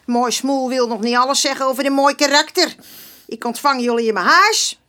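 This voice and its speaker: Feisty old woman, high-pitched. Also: moderate pace, pushed and bright. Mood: indignant, scornful. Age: older. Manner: indignant, blunt, colloquial. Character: feisty, proud old neighbourhood woman with a big mouth. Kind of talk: Dutch radio drama acting.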